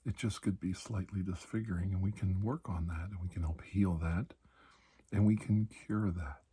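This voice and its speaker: A man playing a calm, reassuring doctor in a soft-spoken ASMR roleplay, speaking slowly and deliberately in a breathy, husky voice that drifts into whispers. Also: low voice. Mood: soothing. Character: calm, reassuring male doctor treating alien skin disease.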